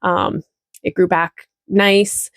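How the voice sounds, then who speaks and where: A woman's voice: lowish voice, steady tone, slow, no room sound, clean studio sound. A woman vlogger giving a casual personal update, chatty, informal and candid.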